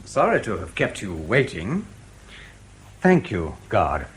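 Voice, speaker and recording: An actor in a radio drama playing a cool, sceptical intelligence officer, clipped, dry and politely probing. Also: male voice, lowish voice, huge pitch swings, slow, a little hiss or hum, full character voice.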